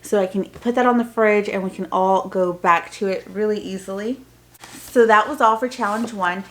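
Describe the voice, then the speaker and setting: Casting: female homeschool vlogger, mid-range pitch, casual unboxing-review delivery, chatty and informal, loosely built sentences. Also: fairly even intonation, moderate pace, fairly bright tone, a little hiss or hum.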